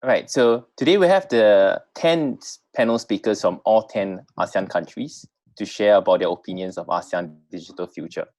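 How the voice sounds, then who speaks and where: A man with a notably deep voice moderates an online panel. His delivery is polite and semi-formal, and the sound is dry with little room echo. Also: moderate pace, few pauses, darkish tone. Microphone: online video call.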